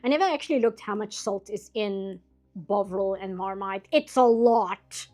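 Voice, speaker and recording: A woman from Britain chatting casually while drawing on a stream, rambling and laughing often, her voice getting a bit hoarse late at night. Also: medium pitch, normal speed, darkish tone, faint music behind.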